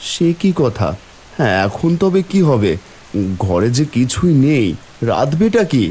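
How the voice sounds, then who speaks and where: A man voicing a fretful, injured poor village husband in a cartoon, complaining expressively yet soft-hearted. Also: medium pitch, big pitch swings, unhurried, husky. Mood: dismayed. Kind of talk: Bengali fairy-tale cartoon voice acting.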